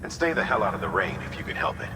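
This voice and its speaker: A gruff game commander with a very low voice. He briefs with firm, measured authority and folksy phrasing, his words ringing through an echoey space over clear background noise. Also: very animated. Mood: firm, instructive. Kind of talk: dramatic video game dialogue. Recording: echoey hall with noisy background.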